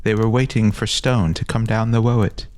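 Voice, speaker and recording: A grandiose older boy, acted out by a male storyteller, lords it over his friends in a fantasy tale. The pitch is lifted well up, and the manner is formal, insistent and self-important. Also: fairly even intonation, normal speed, darkish tone, no echo, lightly acted. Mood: secretive, boastful.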